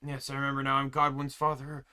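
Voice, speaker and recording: A man's voice: mid-range voice, monotone, fast, bright, forceful tone, slight background noise. A man voicing a pompous, blustering old king and exasperated father in a video game, with old-fashioned exclamations.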